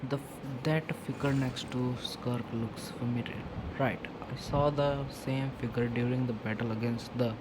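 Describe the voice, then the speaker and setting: A man reading video game dialogue aloud, haltingly, stumbling over and repeating words. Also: medium pitch, fairly even intonation. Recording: clearly noisy background.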